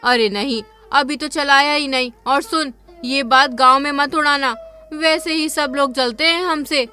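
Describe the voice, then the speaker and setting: A sharp-tongued, boastful village aunt in a cartoon storybook, as a man puts her on. Her voice sits high and bright with a tense, cutting edge, and she talks down to everyone with taunting, haughty scorn. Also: fairly even intonation, a little slow, soft background music, heavily acted. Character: boastful, spiteful village aunt, middle-aged woman.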